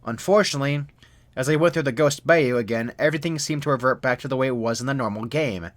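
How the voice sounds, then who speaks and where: A young man narrates a scripted horror story. His voice is medium in pitch, and he speaks in a serious, measured storytelling manner.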